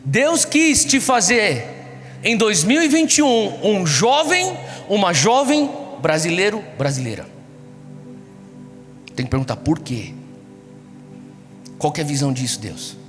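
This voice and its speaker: A man's voice: sits high, sing-song, unhurried, long pauses, a bit bright. Man, Brazilian accent, youth sermon, exhortative, repetitive rhetorical questions, long hall reverb, music behind. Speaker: preacher. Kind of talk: sermon to a young congregation.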